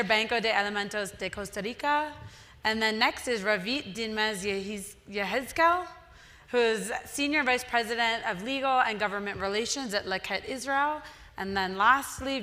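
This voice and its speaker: A woman's voice: a little high, bright, forceful tone. A middle-aged woman moderating a conference panel, introducing the speakers and setting out the topics in an organized way.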